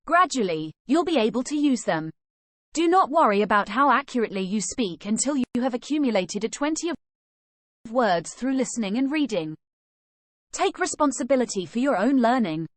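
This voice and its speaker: A woman's voice: fairly high pitch, big pitch swings, normal speed, dry recording. A woman reading a scripted language lesson aloud, moving from sample questions and answers to study tips.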